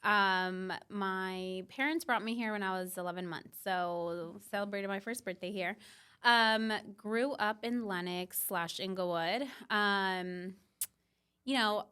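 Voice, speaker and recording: A woman's voice: medium pitch, slow pace, pushed and bright, clean tone. A young woman from Mexico, a podcast guest talking through her life in a reflective, casual way with plenty of fillers. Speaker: podcast guest, business founder.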